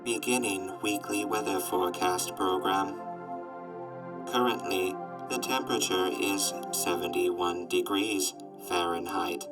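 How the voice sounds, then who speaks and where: An emotionless robot weather announcer from a radio drama. The voice is deep and slow, with halting, mechanical phrasing. Prominent music plays behind it. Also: male voice. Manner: monotone, mechanical, literal, halting. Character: emotionless robot weather forecaster running programmed reports. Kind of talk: scripted weather forecast in audio drama. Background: new-age music.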